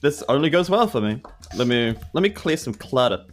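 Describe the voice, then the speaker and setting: A man's voice: medium pitch, big pitch swings, fairly quick. A man, chatting casually as a player in a tabletop game. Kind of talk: casual chat during a game.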